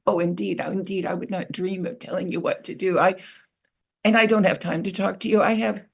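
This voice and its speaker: Woman voicing a no-nonsense beaver, lecturing, slightly gravelly. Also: fairly low pitch, flat delivery. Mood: dismissive. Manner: brisk, lecturing and dismissive. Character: busy no-nonsense female beaver. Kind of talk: storytelling.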